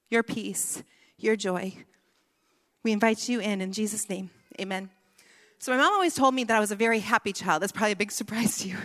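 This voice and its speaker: A woman speaker telling a playful childhood story to a women's gathering before turning to scripture and prayer. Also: fairly high pitch. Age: adult with a son. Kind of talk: sermon. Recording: echoey hall.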